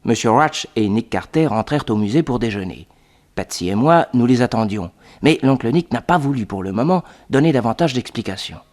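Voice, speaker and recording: A man's voice: medium pitch, animated, fairly quick, darkish tone, natural voice. An actor narrating as a young male sidekick in a radio drama, telling the story evenly. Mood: steady. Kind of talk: scripted narration.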